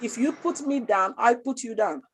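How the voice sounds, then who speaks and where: A woman's voice: fairly high pitch, lively intonation, normal speed, slight background noise. Passionate Nigerian woman, emphatic. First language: Nigerian language. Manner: passionate, emphatic, repeats phrases. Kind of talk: casual talk show chat.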